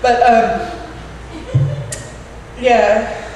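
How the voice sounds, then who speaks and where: A woman with a Southern American accent speaks candidly and haltingly, with a self-deprecating edge. Her voice is caught from far off in a large, reverberant parish hall, with background noise. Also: on the high side, little pitch movement, darkish tone. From Georgia, United States. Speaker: departing rector. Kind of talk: informal farewell remarks. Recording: echoey hall from far off, noisy.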